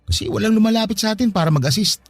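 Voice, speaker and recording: An actor playing a nervous, devoted young boyfriend in a Filipino radio drama, cautious, indulgent and joking. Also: male voice, high voice, very fast.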